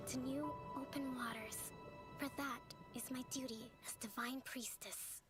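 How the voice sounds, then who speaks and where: A soft, high-voiced young priestess speaks over loud music. She is gentle and solemn, delivering poetic video game monologue with calm dignity as a leader of her sea people. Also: a little slow. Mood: resolute. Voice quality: soft, gentle, breathy at times.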